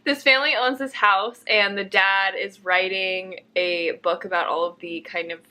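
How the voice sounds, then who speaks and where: Young woman, book vlog host, very bright tone, casual chat, enthusiastic. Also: medium pitch, fairly even intonation, moderate pace.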